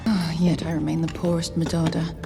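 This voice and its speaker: A woman's voice: low voice, little pitch movement, brisk, gravelly, music in the background. An actress playing a poised, cunning female councilor with a cool, cutting manner.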